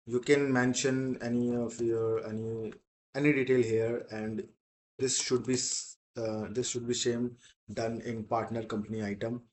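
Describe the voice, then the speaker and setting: A man teaching in a software demo, explaining step by step in a halting, repetitive way. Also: mid-range voice, flat delivery. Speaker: software instructor.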